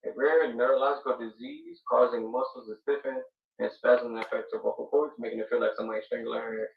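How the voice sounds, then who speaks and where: Gravelly dj guy on a stream, echoey room, dull muffled mic. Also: mid-range voice, lively intonation, fast talker. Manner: laid-back, swearing, hype-man plugging. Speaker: DJ and stream guest. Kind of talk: casual stream chat. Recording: distant, roomy, dull-sounding mic.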